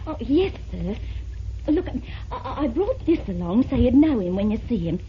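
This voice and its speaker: High-voiced, nervous young woman. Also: very animated, normal speed. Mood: nervous, polite. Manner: hesitant, deferential. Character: nervous, polite young woman reporting to police. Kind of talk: vintage radio drama acting. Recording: muffled, hissy vintage radio recording.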